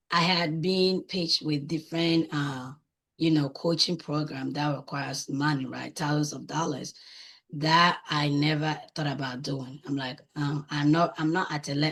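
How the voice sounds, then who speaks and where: Female interview guest, Ghanaian accent, deep husky tone, conversational testimonial delivery. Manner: frequently tags phrases with "right?". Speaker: guest, coaching client and business owner.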